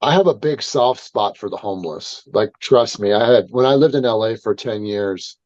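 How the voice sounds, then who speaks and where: A man's voice: fairly low pitch, moderate pace, a little mellow, no echo. A man speaks as a guest instructor on a podcast, earnest and explanatory. His audio sounds dry and somewhat muffled, as if sent in from a remote mic. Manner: earnest, explains with hypothetical quotes. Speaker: self-defense instructor guest. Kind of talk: casual podcast conversation.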